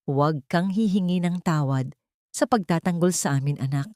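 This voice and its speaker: Woman as a low-voiced kindly old Filipino aunt. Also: moderate pace, darkish tone, no echo, studio quality, natural voice. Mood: consoling, proud.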